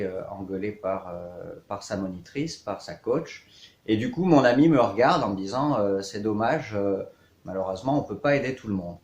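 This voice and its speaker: A man in his thirties with a fairly low voice speaks as a coach introducing himself to online students. He moves in short, measured phrases with hesitations between them. The room adds a clear echo around his voice. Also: a little mellow. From south-east France. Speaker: horse riding coach. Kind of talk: scripted-outline self-introduction to camera for an online course. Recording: echoey, reverberant room.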